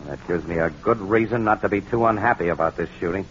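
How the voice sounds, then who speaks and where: An actor playing a tough, wisecracking newspaper photographer, brisk and slangy, in a 1940s radio crime drama. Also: male voice, low voice, a bit bright. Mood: hard-boiled. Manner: brisk, hard-boiled, slangy. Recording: muffled, noisy old radio recording.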